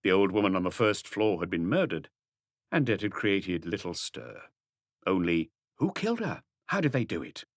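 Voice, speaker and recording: Low, expressive male audiobook narrator. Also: moderate pace, no room sound, clean studio sound. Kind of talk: scripted mystery narration.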